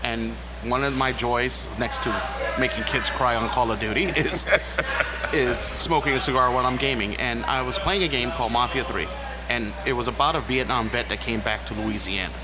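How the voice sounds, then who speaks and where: A man's voice: mid-range voice, normal speed. Male podcast guest, jokey and rallying, mid-range voice; noisy recording with vehicles behind. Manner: joking, animated, rallying. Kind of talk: casual roundtable chat. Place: cigar lounge. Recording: noisy with passing traffic.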